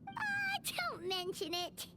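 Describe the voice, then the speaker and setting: A woman voicing a tiny, excitable, easily alarmed childlike fairy companion in a video game, with a squeaky voice. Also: takes it slowly, full character voice. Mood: bashful, cheerful. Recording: game audio under loud background noise.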